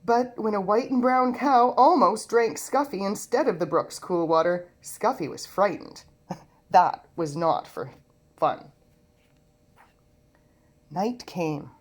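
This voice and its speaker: A woman reads a children's story aloud. Her voice sits at a middle pitch, and she tells the tale expressively, as scripted narration for young listeners. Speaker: storybook reader.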